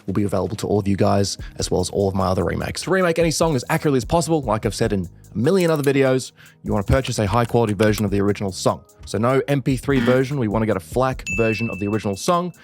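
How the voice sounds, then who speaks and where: A man's voice: fairly low pitch, expressive, rapid-fire, vocal fry. An upbeat young Australian man casually explaining music production as a tutorial host. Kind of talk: fast explanatory tutorial narration. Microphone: studio microphone.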